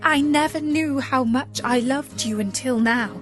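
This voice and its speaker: A woman's voice: sits high, unhurried, fairly bright tone, faint music behind. A woman voicing a tender, anxious young fairy-tale heroine in an earnest, emotional way. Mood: relieved, loving.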